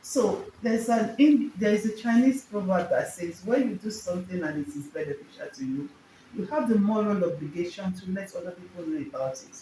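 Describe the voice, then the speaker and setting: Mellow Nigerian woman in an echoey room. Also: fairly low pitch, expressive, brisk. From Lagos, Nigeria. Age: 50s. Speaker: wellness coach and business owner, interview guest. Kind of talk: conversational interview answers, personal account. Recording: echoey room with background noise.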